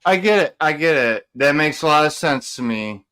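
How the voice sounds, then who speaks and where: Clear, higher-voiced male podcast co-host. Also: lively intonation, moderate pace, sharp tone. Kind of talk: casual conversation.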